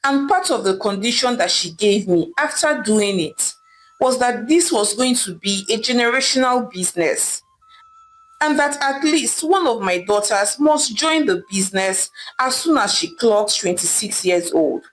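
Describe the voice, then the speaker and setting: Woman as a resigned mother, animated confession. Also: a little high, unhurried, soft background music, low-quality recording. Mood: confessing, apologetic. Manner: confessional, explaining gravely. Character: weary, secretive, resigned widowed mother.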